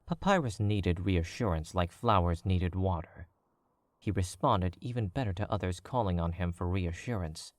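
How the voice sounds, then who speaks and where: Deep-voiced male story narrator. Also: no room sound. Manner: measured, even, storytelling. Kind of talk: scripted audiobook narration.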